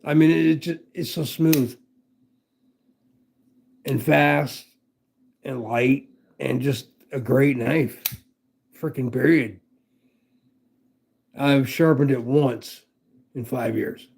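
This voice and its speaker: A man's voice: medium pitch, slow pace, creaky, pauses often, darkish tone. An older man hosting a casual livestream, rambling, folksy and profane as he reads comments. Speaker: livestream host.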